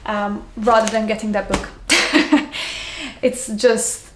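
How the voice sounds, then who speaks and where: A woman's voice: medium pitch, fairly even intonation, takes it slowly, a bit bright, roomy sound, slight background noise. A young woman from Slovakia with a mixed Slovak-Hungarian accent, chatting to camera in a casual, rambling way, full of ums, restarts and easy laughs. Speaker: yoga teacher vlogger.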